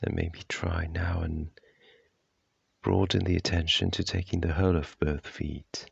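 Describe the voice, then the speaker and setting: Male meditation guide: extremely low, gravelly voice, measured delivery, long pauses between soft instructions. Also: steady tone, no echo, muffled recording. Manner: gentle, unhurried, measured phrasing.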